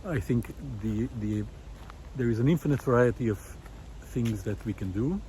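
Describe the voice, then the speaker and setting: Halting, earnest low-key male vlogger, noisy outdoors. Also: dark tone. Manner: hesitant, halting phrasing, earnest. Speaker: vlogger, former visual artist. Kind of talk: reflective unscripted monologue to camera while outdoors. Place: outdoors in a park. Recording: outdoor ambience with clear traffic noise.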